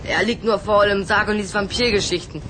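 A woman's voice: medium pitch, fairly even intonation, a little fast, fairly bright tone, slight background noise, close to an everyday voice. A woman playing a hesitant, earnest schoolboy in a children's audio drama. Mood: worried, complaining. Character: hesitant, anxious schoolboy, polite and concerned.

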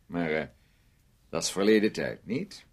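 An actor playing a mocking, needling man in a radio drama, with a gravelly, husky voice and a teasing, sarcastic way of talking. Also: low-pitched, animated, slow, full character voice. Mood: reproachful.

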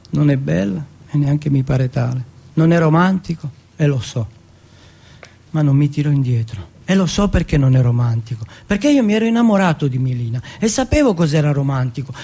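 Gravelly, husky man telling his story. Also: a little high, mellow. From Turin, Italy. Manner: colloquial, streetwise. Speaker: monologue performer. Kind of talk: theatrical autobiographical monologue.

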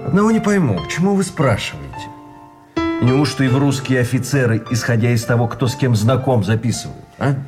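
An actor playing an ironic, worldly, teasing officer in a radio play, with a flippant manner. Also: male voice, mid-range voice, animated, brisk, lightly acted. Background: guitar music.

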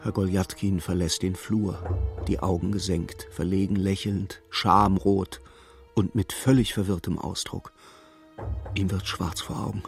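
An actor as the composed storyteller of a radio play, narrating in a measured, matter-of-fact literary style. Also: male voice, low-pitched, steady tone, vocal fry, faint music behind. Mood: composed, observant. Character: composed male storyteller observing a hapless clerk's misadventures.

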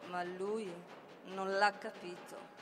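A woman's voice: mid-range voice, level tone, slow, over music, natural voice. A woman playing a betrayed, vengeful sorceress in a tragic stage monologue, declaiming with theatrical intensity. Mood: proud, scornful. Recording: roomy reverberant hall, faint noise.

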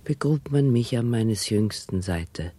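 A resigned dead plague victim in radio drama, husky and low, unhurried, laconic. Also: male voice, animated, dark tone, full character voice. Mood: mournful, resigned. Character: plague-dead mother recounting her death and burial. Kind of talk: solemn 1960s radio play monologue.

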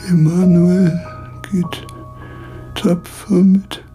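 An actor playing a frail, failing philosopher of nearly eighty in a radio drama, speaking haltingly and repeating words. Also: male voice, high voice, level tone, mellow, faint music behind. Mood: weary, brave. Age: older. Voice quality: creaky, husky, feeble voice.

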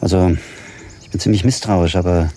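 An actor playing a grave professor who runs space missions in a radio drama, mellow, measured and formal. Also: male voice, deep voice, fairly even intonation. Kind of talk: German radio drama acting.

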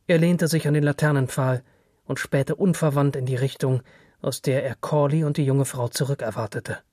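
A man's voice: mid-range voice, fairly even intonation, normal speed, a little mellow, no echo, studio quality. A man narrating literary fiction from a script.

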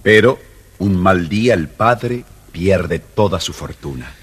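A man narrating a children's storybook record in a measured storytelling voice. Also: sits low, expressive, breathy edge, faint music behind.

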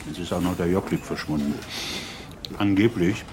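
A man's voice: deep voice, normal speed, background noise, natural voice. An actor playing a gossipy male colleague chatting casually in a radio drama.

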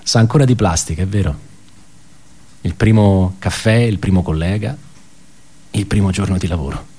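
Radio drama monologue: world-weary, ironic office worker, low-pitched, conversational and self-correcting. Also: male voice, moderate pace, warm tone, husky. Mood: ironic, reminiscing. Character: wry, bitter male office worker recounting colleagues' suicides. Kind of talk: theatrical radio monologue, reflective storytelling.

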